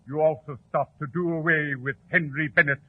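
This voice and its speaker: An actor playing a self-important, excitable male bank official in a 1940s radio drama. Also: on the high side, normal speed, close to an everyday voice. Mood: accusing. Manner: excitable, emphatic, accusing. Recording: tinny, muffled old 1940s radio recording.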